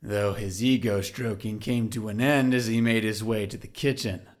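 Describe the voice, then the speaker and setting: A man's voice: fairly low pitch, steady tone, normal speed, background noise. A man narrating a scripted audio drama in a literary storytelling style.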